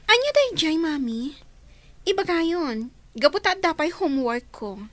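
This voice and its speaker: A woman's voice: sits high, sing-song, a little slow, fairly bright tone, dry recording, heavily acted. A woman playing a spoiled, resentful, disdainful schoolgirl daughter in an Ilocano radio drama. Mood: irritated. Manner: snappish, disdainful.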